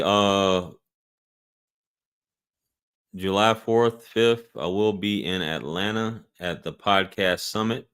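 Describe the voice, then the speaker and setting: A man's voice: low voice, clean tone. A man with a light Southern American accent, casually reading out viewer questions and answering them on a live stream. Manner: laid-back, reads out chat questions and answers them.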